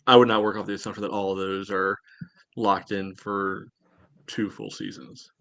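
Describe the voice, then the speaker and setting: A man co-hosting a sports podcast, discussing and analysing in a casual, measured way. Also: deep voice, little pitch movement, fairly bright tone, no room sound. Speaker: podcast co-host.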